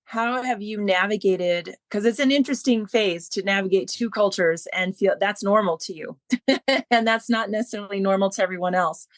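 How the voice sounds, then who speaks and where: A woman's voice: mid-range voice, normal speed, no room sound. Woman podcast host, dry close sound. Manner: loosely phrased, winding questions. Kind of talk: conversational interviewing. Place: remote online call.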